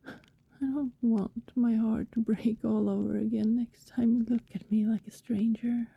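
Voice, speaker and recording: A woman playing a tender, possessive vampire in an intimate ASMR roleplay, seductive and wistful, whispering slowly and soothingly close to the microphone. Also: a little high, little pitch movement, no echo, phone-quality sound, full character voice. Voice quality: breathy, mostly whispered. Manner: slow, lingering, soothing delivery. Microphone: very close, dry microphone.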